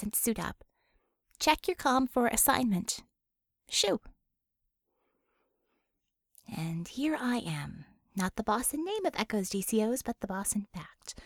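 A woman's voice: medium pitch, creaky, no echo, studio quality. A woman voicing a wry, motherly female medic boss in an audiobook, informal and salty, reassuring yet bossy. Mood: private doubt.

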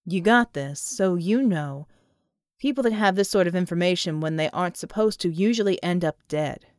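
Woman voicing a gruff, guarded man, pitched low. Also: moderate pace, no echo, clean studio sound, natural voice. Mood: grave, deliberate. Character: gruff, guarded amnesiac man, hard-drinking and terse.

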